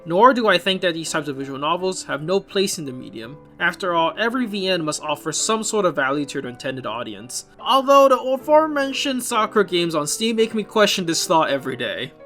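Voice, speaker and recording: A man with a fairly high voice hosts a scripted video essay. He sounds opinionated, slipping in wry asides as he narrates. Music plays faintly underneath his voice. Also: big pitch swings, brisk, hardly any pauses, sharp tone. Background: background music.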